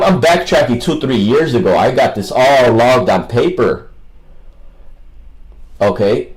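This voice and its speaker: A man's voice: on the low side, a little mellow, low-quality recording. A man rambling casually as a livestream host, with a combative, mocking and coarse way of talking.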